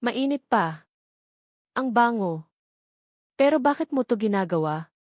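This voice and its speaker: A woman voicing an anxious, hesitant young woman with memory loss in an audio romance drama, speaking in a halting, tentative way. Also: fairly high pitch, animated, dry recording, clean studio sound. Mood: wary, softened.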